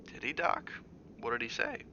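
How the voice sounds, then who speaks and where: A man reading as a quiet, guarded father. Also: mid-range voice, big pitch swings, moderate pace, in a whisper, voice pushed up. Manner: quiet and clipped. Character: tense, guarded father, rough-edged man. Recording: slightly muffled with faint hiss.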